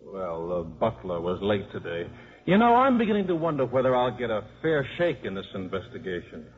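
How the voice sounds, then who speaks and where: A man's voice: fairly low pitch, sing-song, a little fast. An actor playing a cynical, sardonic American ex-football coach and father in a 1950s radio drama. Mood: wry, guarded. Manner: wry, sardonic. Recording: muffled, noisy 1950s radio recording.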